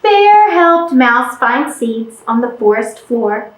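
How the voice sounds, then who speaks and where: A woman reading a picture book aloud to children as a storytime host, with expressive, deliberate delivery. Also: on the high side. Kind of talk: animated children's read-aloud. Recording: echoey room.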